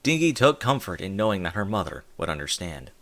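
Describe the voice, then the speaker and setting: A man reading a fan fiction story aloud as narrator, telling it expressively with wide swings in pitch. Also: sing-song, fairly quick, heavy background noise.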